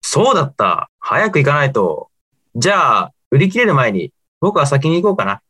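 A man's voice: on the high side, big pitch swings, moderate pace, bright, forceful tone, breathy edge, full character voice. A man playing an earnest young student who is secretly an alien, in an amateur radio drama. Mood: light, carefree.

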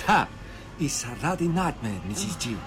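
A man playing an excitable small-time crook in a comic radio drama, exclaiming in broken English. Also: fairly high pitch, moderate pace, over music. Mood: aggrieved, nostalgic. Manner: exclamatory, fractured grammar, peppered with mild curses.

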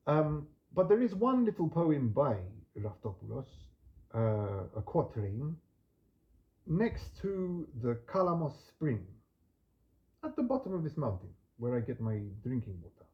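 A man hosting a relaxed podcast, talking in a gentle, unhurried and reflective way, with soft trailing endings and some Greek words read with a learner's accent. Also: a little high, highs cut off. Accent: non-native Greek pronunciation when reading. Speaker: podcast host. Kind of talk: relaxed spoken monologue with poetry reading.

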